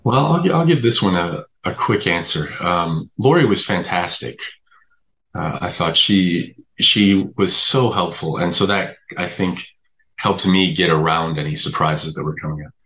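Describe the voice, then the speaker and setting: Very deep-voiced man, slightly muffled. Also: measured pace, bright, forceful tone. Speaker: writer, interview guest. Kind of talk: conversational explanation.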